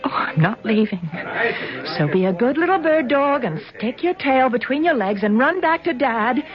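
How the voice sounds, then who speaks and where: High-voiced, defiant young woman. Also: sing-song, normal speed, crowd noise. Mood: defiant, bitter. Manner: emphatic, theatrical, sharp-tongued. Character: defiant, bitter senator's daughter, a young woman in love. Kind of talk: 1970s radio drama acting. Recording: dull vintage radio recording with music.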